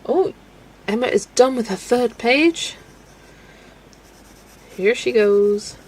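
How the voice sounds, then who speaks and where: A woman's voice: fairly high pitch, slow pace, husky. Chatty woman streaming while colouring. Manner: relaxed and chatty, laughs often, talks to herself while working. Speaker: livestream host. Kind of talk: casual chat with a live chat while colouring.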